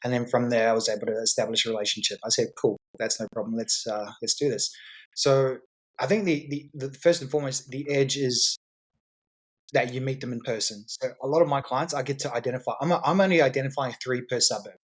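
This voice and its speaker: A man's voice: mid-range voice, steady tone, brisk, slightly rough. A man as a guest on a podcast, explaining his business in a confident, salesy way with frequent repeats and restarts. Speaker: guest entrepreneur.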